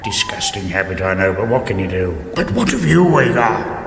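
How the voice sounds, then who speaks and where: A man's voice: fairly low pitch, wildly swooping pitch, moderate pace, gravelly, full character voice. A man playing a smug, bored, gossipy dragon in a radio comedy fantasy, urbane and pompous with henpecked grumbling. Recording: echoey reverberant radio production, faint noise.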